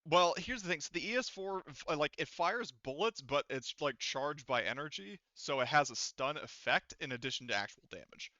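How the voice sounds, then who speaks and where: A man's voice: a little high, expressive, moderate pace, few pauses, bright, forceful tone, no room sound, dull audio. A man speaking as game master, running a tabletop role-playing game and explaining rules and outcomes to players.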